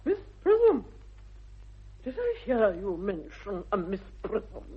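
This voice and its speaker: A woman's voice: medium pitch, wildly swooping pitch, takes it slowly, breathy edge, full character voice. An actress playing a snobbish, haughty older aristocratic lady with an upper-class English accent, pompous and precise, in a British radio comedy. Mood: suspicious. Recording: dull vintage radio recording.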